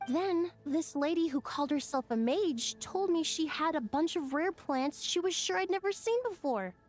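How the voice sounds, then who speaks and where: High, lilting young perfumer. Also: female voice, lively intonation, moderate pace, soft background music. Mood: friendly, explaining. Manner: polite, enthusiastic, thoughtful. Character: friendly young woman perfumer minding a flower shop. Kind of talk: video game character voice acting.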